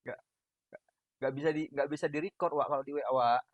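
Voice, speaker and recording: A young man from Medan with a Medan accent, a big booming voice and a loud, laughing way of chatting as a podcast host on a call. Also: fairly even intonation, fast, no echo. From Medan, Indonesia. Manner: loud, laughing, self-deprecating. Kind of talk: casual chat over a video call. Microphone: video call audio. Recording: dull lo-fi call audio, dry.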